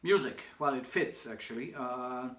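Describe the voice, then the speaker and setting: A man's voice: mid-range voice, moderate pace, fairly bright tone. Hesitant man with a German-Hungarian background. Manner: hesitant, many fillers and restarts. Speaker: shop owner answering questions. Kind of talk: casual personal monologue. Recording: echoey room with faint background noise.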